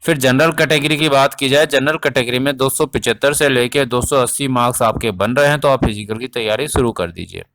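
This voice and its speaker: A man's voice: medium pitch, a little fast, few pauses, fairly bright tone. A man explaining exam updates informally as a coaching teacher, addressing students directly and repeating points for emphasis.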